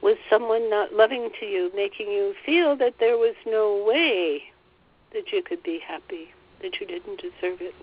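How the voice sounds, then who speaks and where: A woman leading a guided meditation, relaying a guide's words in a gentle, soothing way and often repeating phrases. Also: mid-range voice, normal speed, phone-quality sound. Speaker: spiritual teacher leading a meditation.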